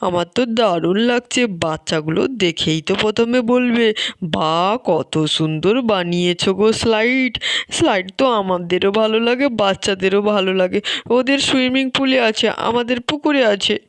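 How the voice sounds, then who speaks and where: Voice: medium pitch, normal speed, dry recording, close to an everyday voice. A performer voicing a cheerful, boastful village dad in a doll-play story, chatty and eager for approval. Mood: pleased. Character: Bhim, cheerful village dad showing off his homemade slide.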